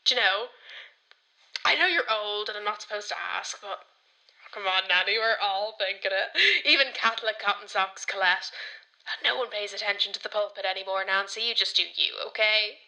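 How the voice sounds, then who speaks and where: A woman's voice: medium pitch, moderate pace, sharp tone, rough recording. A woman playing a cheeky, confessional young Irish granddaughter, rambling theatrically on a radio comedy-drama voicemail. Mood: teasing, gleeful. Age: young adult.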